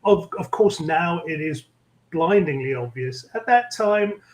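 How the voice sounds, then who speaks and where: A middle-aged man with a London English accent speaks in a slightly raised pitch, pausing often with ums and uhs. He is being interviewed over a video call from an echoey room. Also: expressive. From England. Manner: measured, many ums and uhs. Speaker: guest, tech executive. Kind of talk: interview conversation.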